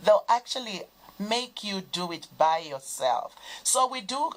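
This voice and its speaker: A woman, a guest in a studio discussion, explaining in a measured way. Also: mid-range voice, expressive, poor audio.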